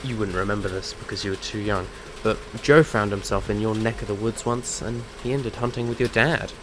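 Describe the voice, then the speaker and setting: An actor playing a brash, teasing monster hunter and comic book nerd in an audio drama. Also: male voice, fairly low pitch, steady tone, fairly quick, background noise, close to an everyday voice. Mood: amazed.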